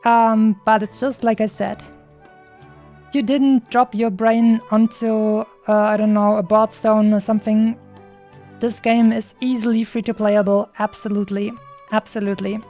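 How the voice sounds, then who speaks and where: A woman's voice: on the high side, flat delivery, unhurried. A woman game streamer giving casual, enthusiastic commentary in a rambling, hesitant way. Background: soft game music.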